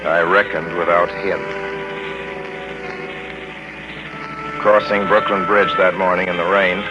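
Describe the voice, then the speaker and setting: A man's voice: low voice, flat delivery, normal speed, lightly acted. An actor playing a man in his thirties, haunted by a road trip, in 1940s radio drama style with dramatic delivery. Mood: haunted recollection. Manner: urgent, dramatic, confessional. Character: tense 36-year-old man haunted on a road trip, insisting he's sane. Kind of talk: 1940s radio drama acting, first-person narration. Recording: old muffled radio recording with music.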